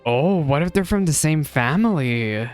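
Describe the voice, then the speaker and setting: A man's voice: fairly high pitch, lively intonation, normal speed. Casual male streamer musing over a game. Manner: musing aloud, chatty asides. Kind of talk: casual game reaction commentary. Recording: faint game music behind.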